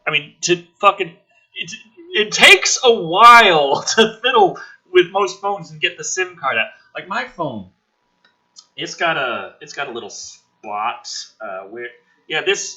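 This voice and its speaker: A man's voice: fairly high pitch, pushed and bright. A man giving a ranting, sarcastic commentary as he reads a screenplay aloud, profane and exasperated. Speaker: host of a movie script review.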